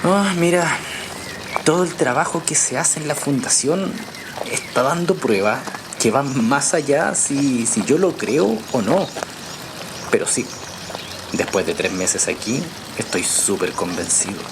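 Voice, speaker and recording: A man's voice: medium pitch, expressive, a little slow, background noise. An actor with a Chilean accent playing a casual, slightly hesitant journalist who explains his research in a naturalistic audio drama. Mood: earnest. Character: easygoing male journalist, hesitant but convinced researcher.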